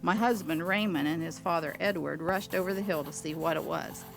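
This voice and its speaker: A woman playing an older storyteller, narrating her memories of an airship wreck in a measured, deliberate way. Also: deep voice, gravelly, over music. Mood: solemn recollection. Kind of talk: scripted first-person narration.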